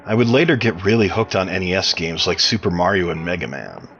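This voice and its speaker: Man, low pitch, crisp bright tone, prepared interview answers, music behind. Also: lively intonation, a little fast, highs cut off. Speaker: interview guest, game mod developer.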